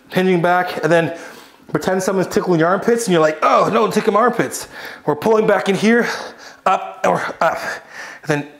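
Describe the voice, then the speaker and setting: A man in his thirties talking casually through a home workout, explaining each exercise as he goes in a small indoor gym. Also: high-pitched. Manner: relaxed, explanatory, talks while exercising. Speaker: fitness coach.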